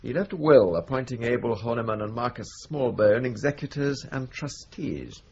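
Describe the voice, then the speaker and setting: A man's voice: mid-range voice, moderate pace, darkish tone, close to an everyday voice. An actor playing a formal, pedantic senior solicitor in a radio drama, exact and precise in his phrasing. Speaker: trustee solicitor. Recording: dull lo-fi radio recording, faint hiss.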